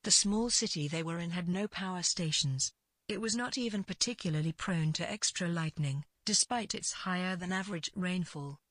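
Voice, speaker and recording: Husky low-voiced woman narrating fiction. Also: moderate pace, dry recording. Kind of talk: scripted literary fiction narration.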